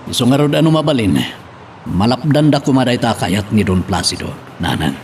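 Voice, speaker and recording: Man acting distant, animated farmers' leader. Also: mid-range voice, a little fast, slight background noise, close to an everyday voice. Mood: concerned. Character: earnest farmers' leader rallying his comrades. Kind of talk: radio-style Ilocano drama acting.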